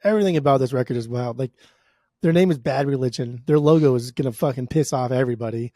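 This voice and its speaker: A man's voice: medium pitch, fast talker, mellow, dry recording, clean studio sound. An American man co-hosting a podcast, talking casually about music in a profane, slangy, opinionated way. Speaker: podcast co-host.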